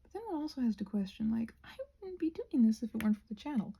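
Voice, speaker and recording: Very soft, mellow woman's voice, rambling casually. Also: fairly high pitch, lively intonation, fast talker, creaky, highs cut off, a little hiss or hum. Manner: self-deprecating, meandering, talks to herself. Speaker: craft and ASMR video creator. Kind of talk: soft-spoken casual rambling while painting.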